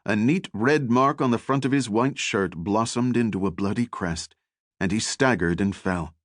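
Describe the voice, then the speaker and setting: A man narrating adventure fiction as an audiobook, with a measured, deliberate and dramatic delivery. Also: lowish voice, no echo, studio quality.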